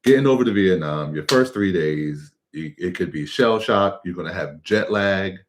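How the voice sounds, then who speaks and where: A man's voice: sits low, expressive, normal speed, a little hiss or hum. An American man co-hosting a live travel stream, casually explaining what local life is like.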